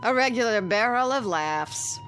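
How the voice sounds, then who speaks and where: An actress in a radio drama playing a drolly bored, teasing wife with a sardonic drawl. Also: female voice, fairly high pitch, expressive, a little slow, fairly bright tone, slight background noise.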